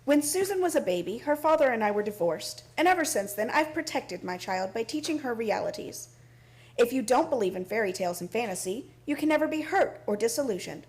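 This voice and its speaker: A woman's voice: a little high, expressive, a little fast. A woman playing a protective, practical mother in a radio play, composed and firm.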